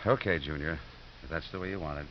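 An actor playing a brash, cynical, wisecracking American football coach in a 1950s radio drama, blunt and slangy. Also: male voice, extremely low pitch, quick pace. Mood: wry, softening. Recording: muffled, hissy 1950s radio recording.

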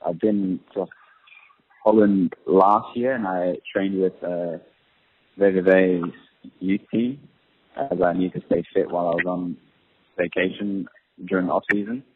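A young man, a professional footballer, answering interview questions in a relaxed, hesitant way with many ums. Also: deep voice, unhurried, pauses often, breathy edge. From Australia. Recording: tinny phone-line audio.

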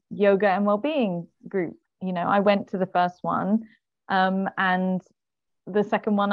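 A woman's voice: medium pitch, no echo, highs cut off. A woman guest on a podcast, a parent, telling her story in a friendly, chatty way. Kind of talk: casual conversation.

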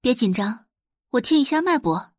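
A woman narrator puts on a cool, self-possessed young female healer in an audio drama. The delivery is polite, refined and matter-of-fact, in a soft-grained husky voice with a close, dry sound. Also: fairly high pitch, animated, normal speed, dry recording, voice pushed up. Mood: polite, reassuring. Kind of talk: Chinese audiobook drama dialogue.

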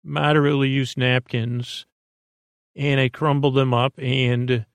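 A man hosting a bedtime podcast in a creaky voice, rambling in a meandering, hesitant way with frequent stammers. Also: mid-range voice, little pitch movement, measured pace, dry recording. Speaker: podcast host.

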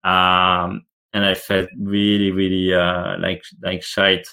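Hesitant man, low voice. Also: completely flat delivery, slow, pushed and bright, smooth. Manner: hesitant, many fillers and restarts. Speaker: guest. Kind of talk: casual interview chat.

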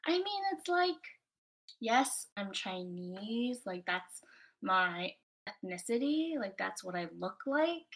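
A woman's voice: medium pitch, very animated, takes it slowly, a bit bright. A young American woman from Florida, a guest in a casual interview, talking loosely with lots of "like" and "you know". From United States. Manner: chatty, many "like" and "you know" fillers. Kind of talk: casual conversation.

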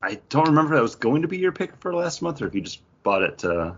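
A husky man's voice with lively, swinging intonation, recorded a bit dully. He chats casually as a podcast co-host, animated and quick to act out reactions. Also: medium pitch, lo-fi sound. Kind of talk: casual chat about comics.

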